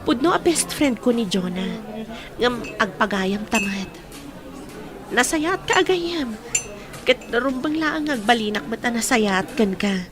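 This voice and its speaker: A woman's voice: on the high side, lively intonation, a little slow, crowd noise. An actress playing a young woman who is a probing, secretly jealous best friend in a radio drama. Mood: earnest.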